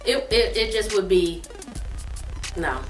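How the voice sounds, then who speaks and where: A woman's voice: medium pitch, takes it slowly. A woman telling a casual comedic family story in an exaggerated way, repeating phrases for emphasis. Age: adult. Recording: roomy, distant mic, music behind. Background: background music.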